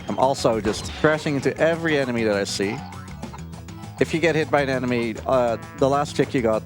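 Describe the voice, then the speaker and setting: A man giving casual, chatty, self-deprecating live commentary while speedrunning a video game. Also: mid-range voice. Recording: live marathon stream with game audio. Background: game music.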